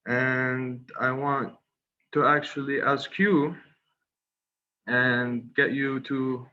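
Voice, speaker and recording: A young man from Qatar giving a webinar presentation as a guest speaker. He talks with many fillers, pauses and restarts, and polls the audience. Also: mid-range voice, fairly even intonation. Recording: slightly dull online call audio with faint noise.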